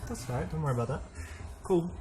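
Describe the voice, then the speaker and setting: A man's voice: a little high, expressive, fast, over music, close to an everyday voice. A man with a faint London-tinged British accent playing an awkward English man on a date in a game, talking in a stiff, formal, polite way. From England. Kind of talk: scripted game acting.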